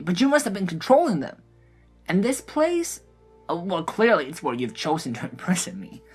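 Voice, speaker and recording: A woman voicing an excitable, chattering male gnome showman in an audio drama, giddy and theatrical. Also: a little high, voice pushed up. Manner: exclamatory, rambling, giddy.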